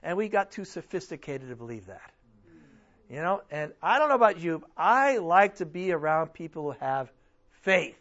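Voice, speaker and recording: Man, preacher, sermon, strained voice, emphatic, rhetorical questions, high voice, muffled recording. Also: sing-song, normal speed. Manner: emphatic, rhetorical questions, swings between loud and soft. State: strained, almost losing his voice. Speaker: pastor.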